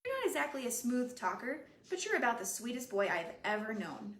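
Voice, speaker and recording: A woman's voice: on the high side, very animated, fairly quick, a bit bright, slight background noise. A woman reading a teasing teenage girl. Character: spirited, caring teenage girlfriend. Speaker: reader. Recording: roomy echoey room.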